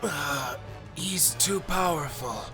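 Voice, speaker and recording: A man playing a gruff, battle-worn hedgehog fighter in an audio drama, terse in manner. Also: a little high, slow, bright, forceful tone, faint music behind. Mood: wounded.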